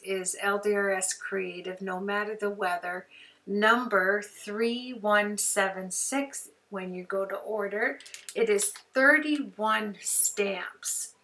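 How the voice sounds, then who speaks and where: A hoarse woman talks through a craft tutorial in a chatty, folksy way. Her voice has a gravelly fry under a bright, sharp edge, and she sits a little back from the mic. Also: medium pitch, distant mic, low-quality recording. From Canada. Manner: chatty, folksy, homey phrases. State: hoarse from flu. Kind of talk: card-making tutorial narration.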